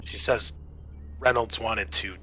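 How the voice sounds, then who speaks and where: A man running a tabletop roleplaying game as game master, narrating in halting, pieced-together phrases. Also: on the low side, steady tone, background noise.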